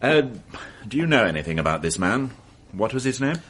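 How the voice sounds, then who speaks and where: An actor playing an exasperated senior police detective in a radio drama, sharp-tongued and barking orders. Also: male voice, medium pitch, big pitch swings, fairly quick, a little hiss or hum. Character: irritable senior police detective, middle-aged man.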